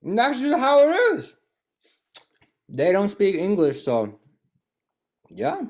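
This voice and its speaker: A speaker performing a theatrical monologue in a conversational, halting, knowing way. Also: mid-range voice, wildly swooping pitch, a little mellow.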